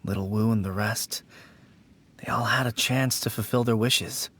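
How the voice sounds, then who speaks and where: A man's voice: on the low side, steady tone, moderate pace, fairly bright tone. An actor playing a weary, grieving young man who speaks earnestly and wistfully. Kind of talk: game cutscene voice acting.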